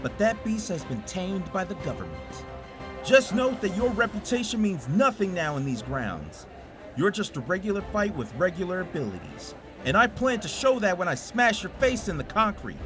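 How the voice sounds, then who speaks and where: Man acting a high-pitched, animated cocky brawler. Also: moderate pace, over music. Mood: menacing taunts. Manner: taunting, boastful, foul-mouthed. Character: cocky, loud-mouthed brawler, a gloating male tournament fighter. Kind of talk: visual novel voice acting.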